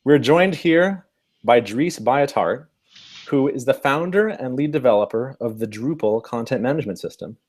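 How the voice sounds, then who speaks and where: A man's voice: mid-range voice, animated, moderate pace, a little mellow. A man hosting an interview, welcoming the audience and introducing his guest formally. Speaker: interviewer, host. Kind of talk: hosted interview.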